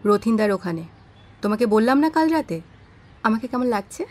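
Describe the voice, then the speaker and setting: A woman's voice: on the high side, animated, moderate pace, darkish tone. A woman acting a defiant, distressed wife in an audio drama, indignant and pleading with her husband.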